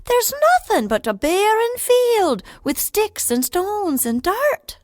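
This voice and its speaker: A woman's voice: very high-pitched, very animated, slow pace, no room sound, clean studio sound, pitched above the performer's own voice, heavily acted. A woman voicing a curious, bewildered old farmer with a folksy manner.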